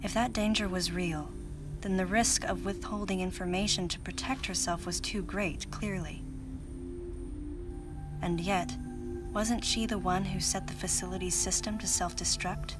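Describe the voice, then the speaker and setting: A calm, introspective female bounty hunter, voiced by an actress, thinking aloud in a low, quiet voice. Her game cutscene monologue plays over clear music. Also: unhurried, natural voice. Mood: reflective. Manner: quiet, reflective inner monologue. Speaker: narrator and protagonist. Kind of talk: video game cutscene voice acting. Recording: game audio with music underneath.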